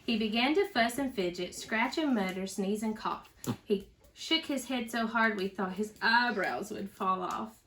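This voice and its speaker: A woman who teaches first grade, reading aloud to young children in an expressive, animated storybook manner. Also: medium pitch, unhurried, recorded from a distance, a little hiss or hum.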